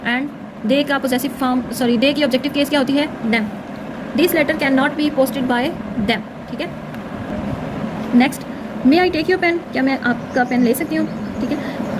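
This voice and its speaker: High-pitched woman teaching, noisy recording. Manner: instructional, slowly enunciates example sentences, frequent check-in tags. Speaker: English grammar teacher. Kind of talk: grammar lesson, explaining examples step by step.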